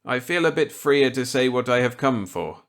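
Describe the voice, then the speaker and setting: A man's voice: medium pitch, lively intonation, moderate pace, voice pushed up, natural voice. A man voicing an earnest, resentful government scientist in a storybook reading, stiff and incredulous in delivery. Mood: earnest, careful.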